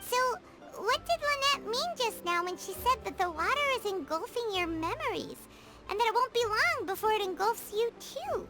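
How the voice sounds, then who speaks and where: A woman voicing a tiny childlike fairy companion in a video game, squeaky, excitable and exclamatory. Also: moderate pace, loud music behind the voice. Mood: puzzled. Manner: childlike, refers to self in third person, exclamatory. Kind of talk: English video game voice acting.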